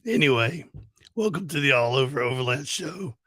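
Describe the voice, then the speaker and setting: A man co-hosting a casual overlanding talk show, hoarse and husky because he has lost his voice, speaking in a laid-back way with laughs and swearing. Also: mid-range voice, expressive, moderate pace, fairly bright tone, no room sound, clean studio sound. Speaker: co-host. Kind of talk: casual podcast chat.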